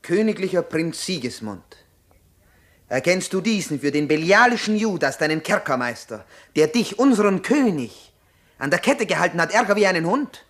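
Vengeful rebel follower, radio drama, highish voice, jeering, declamatory, animated swoops. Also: male voice, normal speed. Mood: accusing. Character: mocking, vengeful male rebel henchman. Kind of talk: theatrical German radio play acting.

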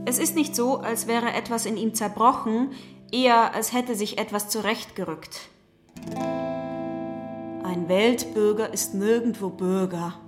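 Slow talking woman narrator for a theatre piece, scripted storytelling, clear guitar music underneath. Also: fairly high pitch, fairly even intonation. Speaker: narrator and singer in a stage play. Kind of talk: scripted dramatic narration in third person.

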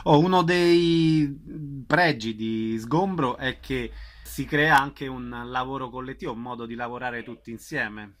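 A man's voice: medium pitch, unhurried, a bit bright. A man acting as interviewer in a casual promotional chat, giving short prompts and agreeing. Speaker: radio interviewer.

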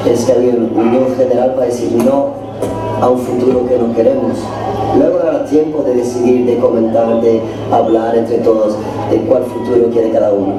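A man's voice: medium pitch, level tone, moderate pace. A man giving a plain-spoken political statement. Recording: distant echoey playback with music behind.

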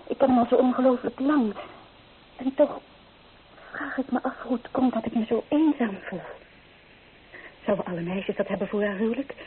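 An actress playing a restless, romantic young bride-to-be in a Dutch radio drama, speaking with emotional, poetic intensity. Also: female voice, on the high side, moderate pace, pauses often, narrow, tinny audio, lightly acted. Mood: anguished, wistful. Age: young adult. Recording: thin, band-limited old radio recording, faint noise.

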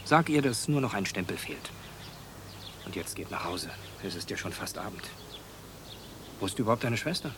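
An actor playing a kindly village doctor in a radio drama, speaking gently and soothingly. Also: male voice, lowish voice, big pitch swings, moderate pace, pauses often, heavy background noise. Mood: soothing, regretful. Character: kindly adult male village doctor calming a child. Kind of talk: hushed German radio drama acting.

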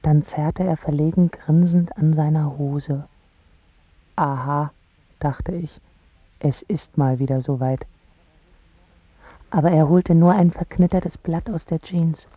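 A woman's voice: low voice, fairly even intonation, takes it slowly, pauses often, dark tone, breathy edge, dry recording, heavily acted. An actress playing a vulnerable young woman in love, telling of her boyfriend in short, confiding phrases in a radio drama. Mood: tender, amused. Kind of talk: radio drama, intimate first-person narration. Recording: thin phone-like band-limited sound.

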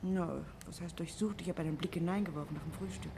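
An actress playing a flustered, hurried landlady in a 1950s radio play. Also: lowish voice, vocal fry, warm tone. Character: Mrs Weldon, flustered middle-aged landlady. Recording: hissy old radio tape.

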